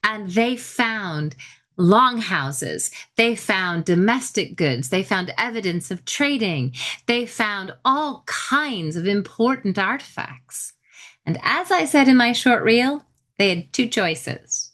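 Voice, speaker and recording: A woman's voice: medium pitch, animated, slow, a bit bright. A woman podcast host giving an informal history lecture in a chatty, explanatory way with wry asides.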